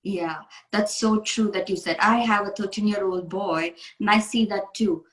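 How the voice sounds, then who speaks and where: A woman's voice: medium pitch, fairly even intonation, moderate pace, roomy sound, rough recording. A woman from India hosting a casual interview, speaking earnestly and asking questions. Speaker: coach, interview host.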